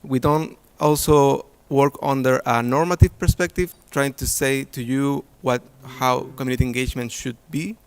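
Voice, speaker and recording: A man's voice: mid-range voice, fairly even intonation, normal speed, few pauses, clear, resonant tone. Man presenting an academic talk. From Chile. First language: Spanish. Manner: hesitant, many fillers and restarts. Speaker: conference presenter.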